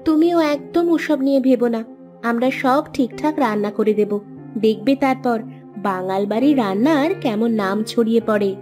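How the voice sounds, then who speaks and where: A woman's voice: on the high side, moderate pace, darkish tone, soft background music, close to an everyday voice. A woman voicing a confident, reassuring village wife in a cartoon. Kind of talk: cartoon dialogue.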